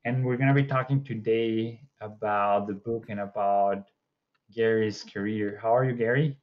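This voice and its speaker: A man's voice: fairly low pitch, steady tone. Young man hosting over a dull line. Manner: friendly, slightly halting questions. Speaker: podcast host. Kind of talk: interview hosting. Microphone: video call webcam.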